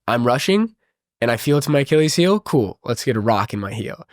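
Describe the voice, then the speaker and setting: A man's voice: medium pitch, big pitch swings, brisk, dry recording, studio quality. A man with a stuffy nose, talking earnestly and enthusiastically in a casual spiritual conversation. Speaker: podcast host.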